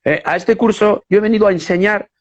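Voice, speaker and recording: A man's voice: high voice, fairly quick. A man hosting a casual interview who also teaches, speaking with enthusiastic, emphatic repetition. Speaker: host and whistling teacher. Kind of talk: casual interview over a live video stream. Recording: lo-fi online video call audio.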